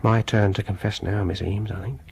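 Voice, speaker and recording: An actor playing a reserved, quietly insistent music lover in a British radio horror drama, speaking with a British accent in a formal, hesitant, measured way. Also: male voice, fairly low pitch, fairly even intonation, vocal fry, a little hiss or hum. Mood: hesitant, confessing.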